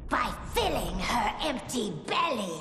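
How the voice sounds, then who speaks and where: An actress playing a sinister, savoring female villain in a video game, with drawn-out, menacing delivery. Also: on the high side, very animated. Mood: menacing relish.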